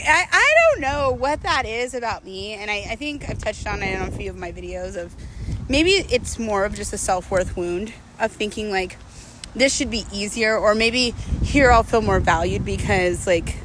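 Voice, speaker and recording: A woman's voice: medium pitch, poor audio. A woman in her late thirties giving a casual, confessional monologue, reflective and candid, talking through her thoughts in a self-questioning, conversational way. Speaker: vlogger sharing personal relationship advice.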